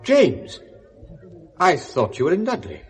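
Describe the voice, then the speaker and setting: A middle-aged Englishman of outward respectability in a vintage radio play. His pitch moves about a great deal as he protests indignantly, then slides into evasive, reasonable-sounding excuses. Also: huge pitch swings, slow, faint music behind, heavily acted. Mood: startled, indignant. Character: hypocritical, respectable middle-aged English photographer, self-justifying. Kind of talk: 1970s British radio drama acting.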